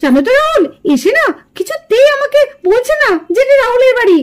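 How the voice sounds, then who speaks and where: Woman voicing a very high, pouty vain city girl. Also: big pitch swings, fairly quick. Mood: complaining, clingy. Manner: gushing, pouty, affected, sprinkled with English. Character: vain, whiny young city woman back from London. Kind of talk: puppet cartoon drama acting.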